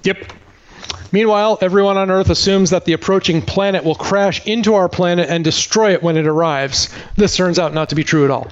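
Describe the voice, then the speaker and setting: A man with a notably high-pitched voice gives a comedic movie recap as a podcast host. His delivery is sardonic and exasperated, like someone fed up with the story he is telling. The audio is a little dull and lo-fi. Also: a little fast.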